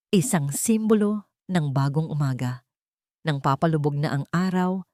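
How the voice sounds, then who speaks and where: A woman reading a scripted love story as a narrator, in a dramatic, sentimental and expressive way. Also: fairly low pitch, no room sound, studio quality.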